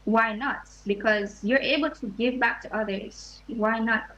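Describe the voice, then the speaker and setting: A teenage girl from Jamaica, interviewed over a video call, answering softly and hesitantly. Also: a little high, a little slow. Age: late teens. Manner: soft, hesitant, many ums. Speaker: student guest. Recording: dull lo-fi video call audio.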